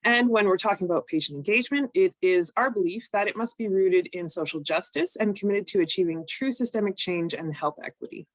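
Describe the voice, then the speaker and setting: A woman, recovering from a cold, presenting an online training session in a measured, explanatory way with frequent ums. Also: medium pitch, no echo. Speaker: workshop presenter.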